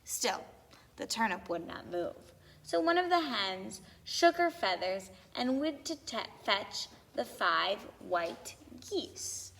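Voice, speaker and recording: A woman reading a children's story aloud with expressive, theatrical emphasis on lists and sound words. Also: mid-range voice, slow pace, creaky. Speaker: storybook reader. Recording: long hall-like reverb.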